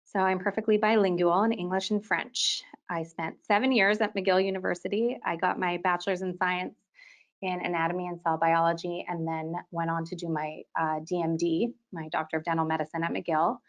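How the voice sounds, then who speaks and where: A woman in her thirties with a slightly low voice, lecturing to a webinar audience. She talks as an orthodontist in a clear, organized way, often leading into her points with "so". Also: little pitch movement, brisk. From Quebec, Canada. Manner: clear, organized delivery, often opening sentences with "so". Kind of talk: continuing-education webinar lecture.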